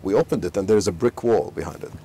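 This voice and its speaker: A man in his forties from Saudi Arabia, a company chief telling stories in a casual interview, full of fillers and restarts, recorded outdoors on a lapel mic. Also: lowish voice. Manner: fast, animated storytelling full of fillers and restarts. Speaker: company CEO, interview guest. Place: outdoor garden beside a pool.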